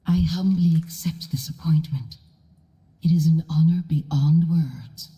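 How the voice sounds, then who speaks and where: Dignified elf lady from a fantasy game, deep and very soft dark tone, formal. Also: fairly even intonation, unhurried. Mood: solemn. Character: stately elven woman, formal and solemn. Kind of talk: fantasy video game voice acting.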